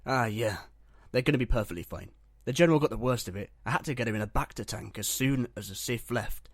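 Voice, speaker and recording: A steady, reassuring medic aboard a starship, acted by a man. He has a mid-pitched voice and a matter-of-fact delivery, recorded very dry for a roleplay series. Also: fast talker, no echo, close to an everyday voice. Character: calm, reassuring male ship medic. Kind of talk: Minecraft roleplay web series voice acting.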